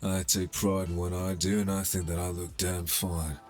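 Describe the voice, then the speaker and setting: A man's voice: low voice, flat delivery, normal speed, soft background music, close to an everyday voice. A man playing a cocky, sardonic criminal middleman in a cyberpunk ASMR roleplay, casual and streetwise, teasing as he talks.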